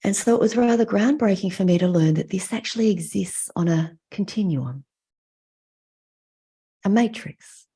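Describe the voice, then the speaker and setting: A middle-aged woman with an Australian accent giving a reflective prepared talk with personal stories, speaking in measured, deliberate phrases with pauses. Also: medium pitch, mellow, slightly rough. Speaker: mental health clinician giving a talk.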